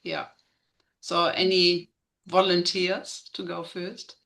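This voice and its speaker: A woman's voice: on the low side, flat delivery, takes it slowly, creaky, a bit bright. A woman speaking casually as a co-organiser of an online meeting. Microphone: video call.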